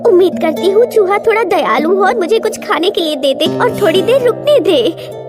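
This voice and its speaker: Tiny fairy-tale girl, extremely high voice, gentle, polite, pleading, children's story dub, music behind. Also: animated, moderate pace. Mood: weary, hopeful.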